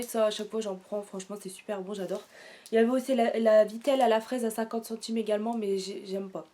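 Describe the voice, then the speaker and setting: A woman's voice: fairly high pitch, a little mellow, slight background noise. A young woman doing a casual shopping haul, out of breath and congested from a sore throat, rambling with lots of fillers. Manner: chatty, rambling, with many fillers and self-corrections. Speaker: haul vlogger.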